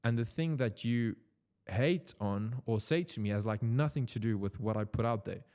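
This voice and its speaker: A man's voice: medium pitch, moderate pace, darkish tone. A young man from South Africa with a South African accent, an Afrikaans speaker talking in English in a loose, unscripted vlog full of "like" fillers. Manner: loose and rambling, many "like" fillers. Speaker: content creator. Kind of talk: unscripted casual vlog talk.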